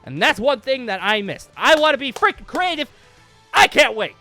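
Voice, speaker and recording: A man giving a casual gaming channel update as host, rambling along in an excitable, emphatic way. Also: very high-pitched, normal speed. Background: background music.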